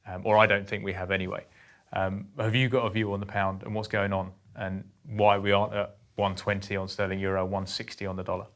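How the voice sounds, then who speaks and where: A man hosting a business podcast, chatting briskly and with self-deprecating humor about markets. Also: fairly low pitch, flat delivery, a bit bright. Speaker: podcast host, company executive. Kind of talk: casual market discussion.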